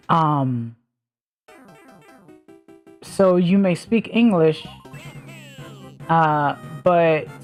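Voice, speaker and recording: Low-voiced woman with a mild Southern accent. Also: a little mellow. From Southern United States. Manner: rambling, thinks aloud with many fillers. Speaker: streamer. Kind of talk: casual stream chat.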